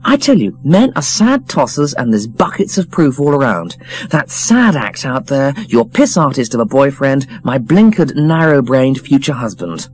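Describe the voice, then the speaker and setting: A man's voice: medium pitch, big pitch swings, normal speed, a little mellow, breathy edge, soft background music, voice pushed up. A man voicing a snobbish, scathing young woman, blunt and emphatic, for an audiobook. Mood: scornful, insistent. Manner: sneering, emphatic, cutting. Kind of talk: audiobook character voice.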